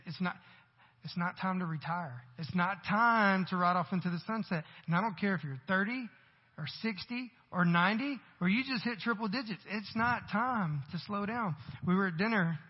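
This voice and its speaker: Male pastor, 40s, husky and high-pitched, conversational sermon with emphatic peaks; long hall reverb, dull recording. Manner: conversational preaching with rising emphatic bursts. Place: church sanctuary.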